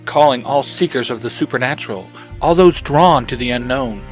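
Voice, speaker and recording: A man's voice: mid-range voice, normal speed. A man speaking as a host in an announcer-like way, addressing listeners directly in scripted intros and promos. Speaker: host and podcaster. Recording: music behind the voice. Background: background music.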